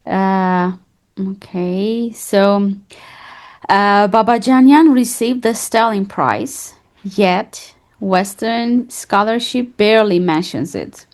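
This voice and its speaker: Slow-paced, polite woman asking questions. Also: mid-range voice, a little mellow. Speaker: moderator putting questions to a guest. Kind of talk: prepared interview questions.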